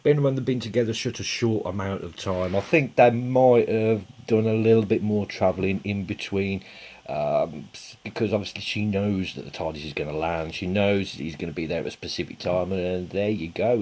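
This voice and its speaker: A man from the English Midlands talks in a thick Birmingham accent, lower pitched than most men. He rambles through his review with plenty of "uh" and "you know", tossing chatty asides to his viewers. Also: normal speed, a little mellow. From England. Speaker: TV episode reviewer. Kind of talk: casual spoken review.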